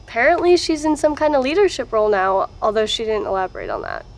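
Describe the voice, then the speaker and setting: Audio drama: a knowing female archivist, wry and factual, with a fairly high voice. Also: sing-song, quick pace, a little hiss or hum. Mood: pointed, wry. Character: informed, wry woman researcher and archivist.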